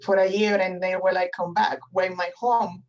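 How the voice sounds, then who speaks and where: A woman's voice: on the low side, level tone, normal speed. Woman, Spanish accent, Spanish native speaker, webinar guest on a video call, reflective and hesitant delivery, dull lo-fi audio. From Spanish-speaking country. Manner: thoughtful, many fillers and restarts. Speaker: guest professor. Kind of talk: webinar interview.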